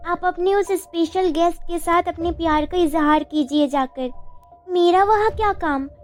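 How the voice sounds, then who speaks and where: An actress plays a young woman in love, hurt and insistent, in an animated romance. Her voice is extremely high and she speaks slowly, with plaintive, dramatic feeling over soft music. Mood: hurt, sulky. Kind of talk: animated love-story voice acting.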